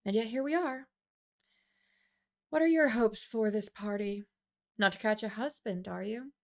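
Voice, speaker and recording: A woman's voice: fairly high pitch, a little slow. A woman voicing a wry, wary young female fighter in an audiobook, with a dry, light manner. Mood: wry teasing.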